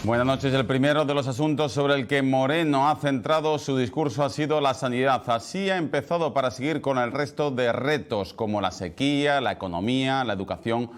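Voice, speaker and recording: A male television news presenter with an Andalusian accent, reading scripted headlines in a measured, emphatic way. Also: mid-range voice, smooth, faint music behind.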